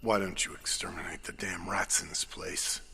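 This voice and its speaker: An actor playing an anxious, bitter doctor condemned to death, muttering tensely and irritably in a prison drama. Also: male voice, sits low, steady tone, moderate pace, pushed and bright, in a whisper. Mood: bitter defiance. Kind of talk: dramatic film acting.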